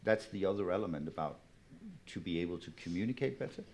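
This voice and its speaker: A man speaking on a conference panel in a measured way, carefully qualifying his arguments. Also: sits low, animated, a little mellow, slight background noise. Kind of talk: panel discussion. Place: conference breakout room.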